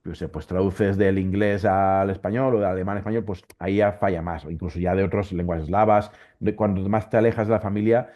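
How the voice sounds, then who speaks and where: Low-voiced Valencian man, dull recording. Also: steady tone, a little fast, hardly any pauses. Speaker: interview guest, volunteer Wikipedia editor. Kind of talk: conversational explanation in an interview.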